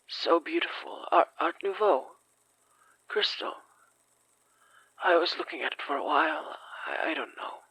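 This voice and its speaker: Horror audio drama: a reluctant, apologetic survivor of a fire, rasping yet bright, halting delivery. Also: female voice, low-pitched, dry recording, full character voice, low-quality recording. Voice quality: hoarse, breathy. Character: shaken, hesitant fire survivor recounting something strange.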